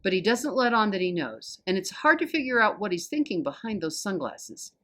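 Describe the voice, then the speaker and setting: A woman with an American accent reading a children's novel aloud as an expressive storyteller. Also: mid-range voice, brisk. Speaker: volunteer storybook reader.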